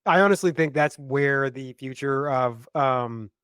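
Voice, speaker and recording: A man's voice: mid-range voice, a little slow, fairly bright tone, smooth, no room sound, clean studio sound. A man co-hosting a casual comedy podcast, teasing and wry, often restarting his sentences. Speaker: podcast co-host.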